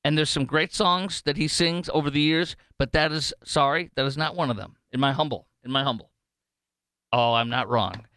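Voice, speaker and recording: A man's voice: on the high side, moderate pace, pushed and bright, no echo. A man with an American accent hosting talk radio in an opinionated, jokey, emphatic way, often wandering off on digressions. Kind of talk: unscripted talk radio monologue with live ad reads.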